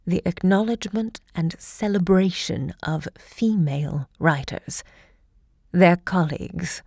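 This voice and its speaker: An actress playing a grand, impassioned woman who hosts a literary salon, looking back in deliberate, theatrical monologue. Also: fairly low pitch, dark tone, no room sound, studio quality. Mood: persuasive, confiding. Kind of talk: theatrical audio drama monologue.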